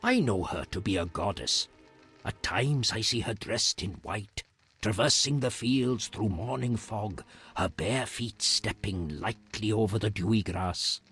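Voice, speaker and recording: An actor playing a solemn, reverent hermit in a fantasy video game, with formal, measured phrasing and a husky voice. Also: male voice, on the low side, expressive, fairly bright tone, soft background music, full character voice. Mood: reverent, wistful.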